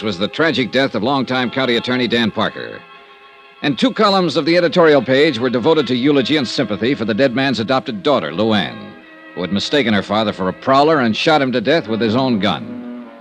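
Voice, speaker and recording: An actor playing a brisk, wry American insurance investigator in Golden Age radio detective style, clipped and pressing with his questions. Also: mid-range voice. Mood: brisk, dry narration. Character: brisk, hard-boiled male freelance insurance investigator. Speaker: radio drama lead actor.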